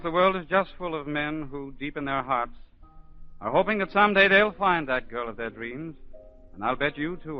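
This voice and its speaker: A man hosting a 1940s radio show, delivering a coaxing commercial pitch. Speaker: host. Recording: dull 1940s radio broadcast.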